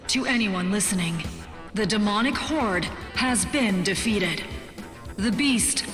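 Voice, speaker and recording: An actress playing a grave resistance broadcaster in a video game, making a formal, measured announcement. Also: female voice, medium pitch, fairly bright tone. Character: solemn resistance broadcaster announcing humanity's victory over demons. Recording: echoing broadcast with long reverb, music behind.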